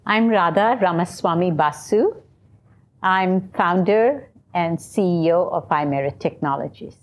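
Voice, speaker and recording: A woman who founded a company, explaining its background clearly in an interview. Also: on the low side, a little slow. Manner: articulate, explanatory.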